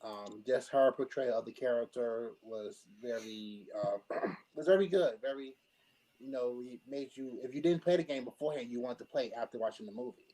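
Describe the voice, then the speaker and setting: A man shares his opinions in a casual group discussion. His voice sits at an average pitch, and he talks in a halting, thinking-aloud way, searching for the next word as he argues his case. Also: mellow, rough recording. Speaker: panelist.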